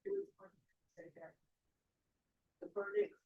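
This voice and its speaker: A woman prospective juror speaking in a hesitant, halting way. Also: very high-pitched, sing-song, gravelly, roomy sound, phone-quality sound.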